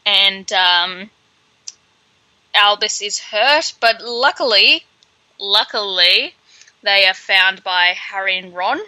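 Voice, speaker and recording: A woman co-hosting a podcast, chatting casually through a book with animated, sardonic asides. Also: mid-range voice, slow pace, pauses often, no echo, low-quality recording. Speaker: podcast co-host. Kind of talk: casual book read-along chat.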